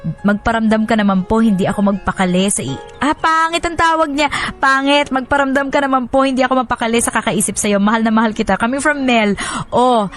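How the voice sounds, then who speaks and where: A woman with a Filipino accent hosting radio, playful, expressive and slangy, mixing in English as she chats and reads out listener messages. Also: fairly high pitch, fairly quick. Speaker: radio host. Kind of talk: lively radio talk, reading listener messages and giving commentary. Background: music.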